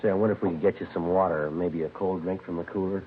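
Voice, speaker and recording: An actor playing a terse, deadpan American police detective in a 1950s radio drama, with matter-of-fact case narration. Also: male voice, deep voice, racing, slight background noise, close to an everyday voice. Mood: businesslike questioning. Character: low-voiced, matter-of-fact police detective, dryly skeptical. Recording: tinny, band-limited vintage radio recording.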